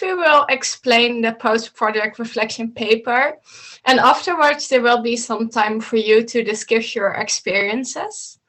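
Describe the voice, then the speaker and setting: A woman's voice: on the high side, bright, forceful tone. A woman coach explaining slides in an online meeting, talking steadily and instructively, with a few slips and restarts, over a video call from a home room. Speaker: coach presenting to students. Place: home room with bookshelves, indoors.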